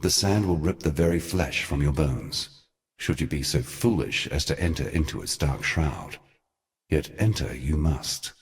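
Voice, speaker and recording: Very deep, solemn ancient god. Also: male voice, animated, full character voice. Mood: grave, brooding. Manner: solemn, formal, measured theatrical delivery. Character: ancient weary male god, grave and scornful storyteller. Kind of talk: scripted dramatic video game narration.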